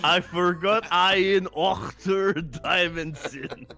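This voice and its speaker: A woman's voice: on the low side, takes it slowly, vocal fry, pushed and bright, faint music behind. A woman co-reading fan fiction on a stream, with casual comic banter.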